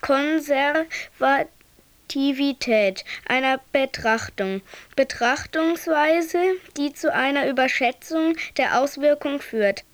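High, slow reciting woman. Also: a little hiss or hum. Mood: detached.

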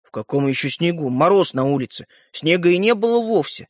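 A man voicing a bewildered schoolboy in a children's story, mild but stubborn, speaking haltingly and unsurely. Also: fairly high pitch, lively intonation, no room sound, clean studio sound, close to an everyday voice. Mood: puzzled.

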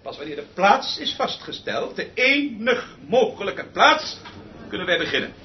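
An actor playing an imperious, exasperated, eccentric orchestra conductor in a radio play, with a pompous, theatrical manner that swings from muttering to shouting. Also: male voice, sits high, sing-song, moderate pace. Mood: imperious, impatient. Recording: dull recording with noticeable hiss.

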